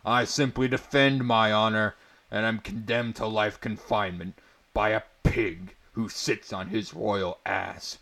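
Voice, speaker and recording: A man's voice: on the low side, steady tone, a little slow, sharp tone. A man voicing a proud barbarian swordsman from a fantasy story, defiant and scornful, declaiming with indignation. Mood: indignant, defiant.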